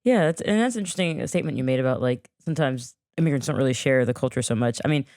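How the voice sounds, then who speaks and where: Woman co-host, really deep voice, chatty and rambly, dry close sound. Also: lively intonation, racing, a little mellow, no room sound. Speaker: podcast co-host. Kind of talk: casual interview chat.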